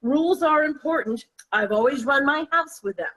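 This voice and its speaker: A woman acting a prim, disapproving older mother who gently scolds her grown children in a stage-play table read. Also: high voice, normal speed, low-quality recording.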